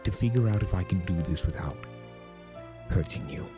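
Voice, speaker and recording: A man playing an immortal trickster creature, awkward, tender and teasing, with hesitant dramatic pauses in a fan audio drama. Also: deep voice, lively intonation. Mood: apologetic, anxious. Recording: music behind the voice.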